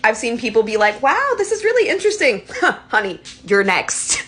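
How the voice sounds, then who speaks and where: A woman's voice: fairly high pitch, big pitch swings, normal speed, a bit bright. A woman talking casually to camera in a gushing, chatty way. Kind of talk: casual video talk.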